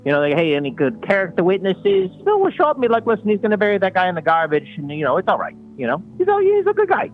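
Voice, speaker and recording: A man as Sicilian mobster caller, tough-guy talk, lively high pitch, muffled line. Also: fast, hardly any pauses, faint music behind. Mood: boastful. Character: brash Sicilian mobster man, boastful and menacing. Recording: muffled phone-line call.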